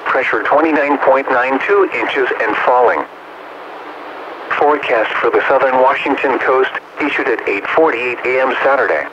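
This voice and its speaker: Automated male weather announcer: synthetic, mid-pitched, formal scripted delivery over muffled, clearly noisy radio. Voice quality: synthetic text-to-speech voice. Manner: formal, measured announcing in clipped phrases. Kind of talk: scripted weather broadcast narration. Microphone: direct recording from weather radio receiver.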